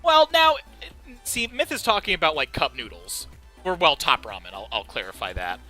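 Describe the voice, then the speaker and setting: A man's voice: on the high side, normal speed, soft background music. A man with a Connecticut accent streaming a game with friends, joking and laughing often. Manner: excitable, laughs often, jokey. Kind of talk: casual gaming stream chat.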